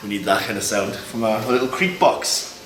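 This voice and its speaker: A man giving an informal, explanatory demonstration as a Foley artist, pausing as he shows each item. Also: on the low side, lively intonation. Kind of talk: informal demonstration of sound-effect props. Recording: echoey, distant mic, loud noise and clicks.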